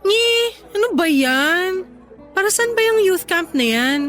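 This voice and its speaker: A woman's voice: very high-pitched, expressive, a little slow, fairly bright tone, heavily acted. An actress playing a playful, stubborn young woman in a radio drama, teasing and argumentative in a conversational way, with a Filipino accent. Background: music bed.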